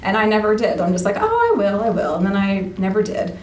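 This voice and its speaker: A woman's voice: mid-range voice, fast talker. Chatty woman, roomy distant recording. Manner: chatty, animated retelling with quoted dialogue. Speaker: interview guest, radio play cast member. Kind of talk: casual conversational storytelling. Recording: roomy, distant mic, faint background noise.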